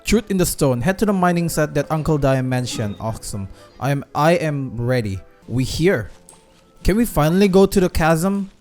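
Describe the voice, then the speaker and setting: A man doing casual let's-play commentary as a gaming streamer, in short, relaxed, offhand remarks. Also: a little high, moderate pace, soft background music.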